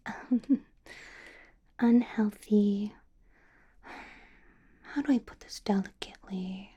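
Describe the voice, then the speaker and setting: A woman playing a doting, possessive female vampire boss in a whispered ASMR roleplay, breathy, coaxing and intimate. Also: medium pitch, dark tone. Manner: slow, coaxing, intimate whisper with murmured hums.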